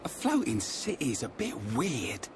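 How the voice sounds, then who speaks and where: Brash, animated young adventurer. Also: male voice, medium pitch, moderate pace, slight background noise. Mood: skeptical. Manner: informal, blunt, expressive. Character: brash, excitable, plain-spoken young adventurer. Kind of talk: video game cutscene acting.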